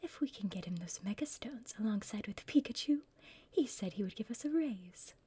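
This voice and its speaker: A woman's voice: mid-range voice, expressive, normal speed, dark tone. A woman playing a bossy, scheming female villain in a whispered ASMR roleplay, impatient and conspiratorial with her partner. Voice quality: breathy whisper.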